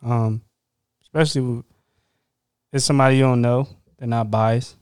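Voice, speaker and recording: A man co-hosting a podcast, asking questions in a supportive way with quick asides and filler words. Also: mid-range voice, steady tone, a little mellow, clean tone, no room sound, studio quality. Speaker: podcast co-host. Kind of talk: casual podcast chat.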